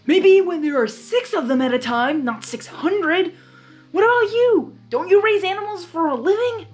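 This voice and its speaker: A woman's voice: very high-pitched, lively intonation, normal speed, faint music behind, pitched above the performer's own voice. A woman voicing a flustered, overworked young male cartoon creature in a story reading, strained and whiny. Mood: defensive. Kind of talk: dramatized fanfiction storytelling.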